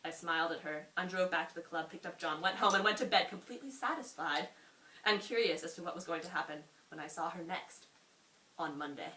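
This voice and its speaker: A young woman reading a story aloud to a friend in an amused, expressive way, often breaking off for asides. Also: lowish voice, a little fast. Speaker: reader. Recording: roomy, distant mic with faint noise.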